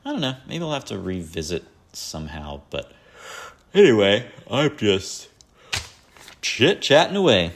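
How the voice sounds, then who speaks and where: A man's voice: on the low side, very animated, a little slow. A man hosting a solo podcast, chatting casually and rambling about his favorite albums with lots of fillers and self-deprecating asides. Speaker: podcast host.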